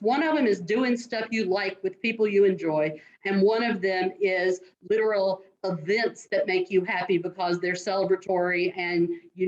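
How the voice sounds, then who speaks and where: Middle-aged woman, admissions dean; earnest, direct, muffled call audio. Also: mid-range voice. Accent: non-New York American. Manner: earnest, direct, advising students. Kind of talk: answering interview questions.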